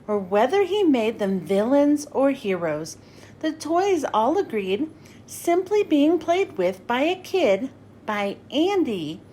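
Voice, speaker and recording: Woman, high voice, expressive storybook narration for kids, clear phrasing, slight background rumble. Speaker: children's read-aloud host.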